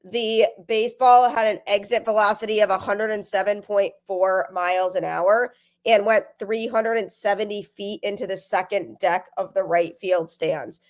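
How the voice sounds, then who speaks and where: A young woman hosting a sports podcast, talking energetically with a stuffy voice from a cold. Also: medium pitch. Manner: energetic, emphatic. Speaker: podcast host.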